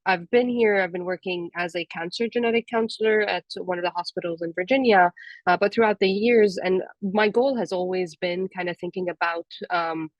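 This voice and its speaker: A woman's voice: lowish voice, dry recording, rough recording. A woman from Jordan who is a little sick, talking informally and chattily about her career as a panelist. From Amman, Jordan. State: sick with a cold-like illness. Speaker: panelist, cancer genetic counselor.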